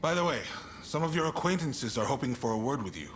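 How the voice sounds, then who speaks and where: An actor playing a composed, resolute samurai-era negotiator in a video game, speaking in a measured, assured way. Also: male voice, medium pitch, animated, a little hiss or hum, lightly acted. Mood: resolute, calm. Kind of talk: dramatic video game cutscene acting.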